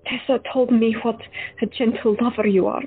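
A woman's voice: a little high, fairly even intonation, moderate pace, slightly rough. A woman playing Stella, a caring but flustered doctor in 1917, speaking emotionally to patients and relatives. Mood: tender. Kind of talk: amateur audio drama acting. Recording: tinny phone-like sound, faint music.

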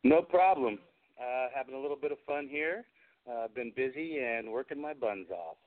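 A man's voice: mid-range voice, moderate pace. A man chatting casually as an interview guest, telling stories in a hesitant, rambling way. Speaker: guest, special effects artist. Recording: phone-like narrow-band audio.

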